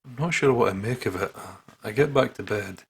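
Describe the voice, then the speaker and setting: A man's voice: medium pitch, brisk, creaky, fairly bright tone, slightly rough. A man doing a playful let's-play, reading aloud and joking, with a sweary, silly manner. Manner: jokey, sweary, breaks into silly riffs. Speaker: gaming channel co-host. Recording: music playing behind the voice. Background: game music.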